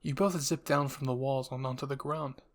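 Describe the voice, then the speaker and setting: A man with a medium-pitched voice reads scripted story narration. He is the storyteller of a listener roleplay. He tells it in the second person, placing the listener inside the tale. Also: steady tone, normal speed.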